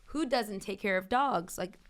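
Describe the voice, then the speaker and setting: Woman podcast co-host, clear smooth voice, animated and laughing. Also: medium pitch, measured pace. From New York. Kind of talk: casual chat.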